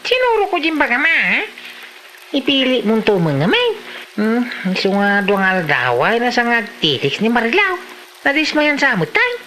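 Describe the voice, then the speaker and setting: A woman playing a small, bashful man with a tiny squeaky voice in an Ilocano comedy drama, speaking with an Ilocano accent. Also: huge pitch swings, moderate pace, bright, forceful tone, a little hiss or hum, heavily acted, low-quality recording. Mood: sulky.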